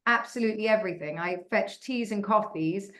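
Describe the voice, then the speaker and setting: A woman's voice: mid-range voice, brisk, fairly bright tone. Forties woman, blunt panel speaker, repeats points for emphasis, roomy sound. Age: 40s. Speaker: panel speaker giving career advice. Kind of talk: conversational panel Q&A.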